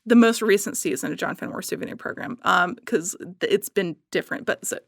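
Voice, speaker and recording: A woman chatting casually as a podcast guest, gushing with animated, emphatic enthusiasm. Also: on the low side, quick pace, vocal fry, no echo, studio quality.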